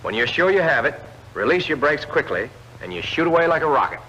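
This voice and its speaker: A man reads scripted instructional narration for a 1940s military training film, clearly addressing the trainee directly. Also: fairly high pitch, sing-song, brisk, bright, forceful tone. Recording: old film soundtrack, dull lo-fi band.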